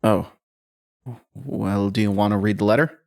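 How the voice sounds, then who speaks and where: An actor in an audio drama playing a mild, patient male handler, solicitous and gently questioning. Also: fairly low pitch, measured pace, vocal fry. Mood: concerned.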